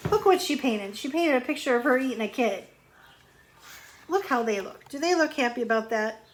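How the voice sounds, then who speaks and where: A woman's voice: on the high side, expressive, normal speed, a little hiss or hum. A woman teacher reading a storybook aloud to young children, expressively, with conversational asides and questions.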